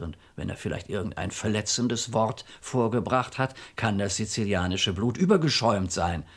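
A low-pitched, gruff commissioner in a seventies radio crime play. He is shrewd and blunt, lecturing deliberately and with emphasis, his patience worn thin. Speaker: actor. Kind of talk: 1970s German radio play acting.

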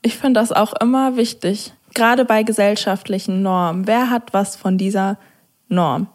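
A young woman speaks as a co-host in an informal discussion. Her voice has an average pitch for a woman. She explains her points in a reasoned, everyday conversational manner. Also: moderate pace.

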